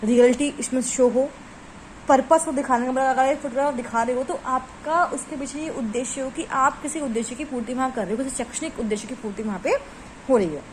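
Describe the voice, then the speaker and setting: A woman's voice: high-pitched, a little fast, slight background noise, poor audio. Woman teacher explaining from notes. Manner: reads textbook phrases, then rephrases them in casual Hindi-English.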